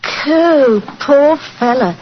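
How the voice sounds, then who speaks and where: A brash, saucy Cockney street woman, high and swooping in pitch. The sound is a dull old radio recording. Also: expressive, extremely slow, background noise, exaggerated voice. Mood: chummy. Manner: brash, familiar. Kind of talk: 1940s radio acting.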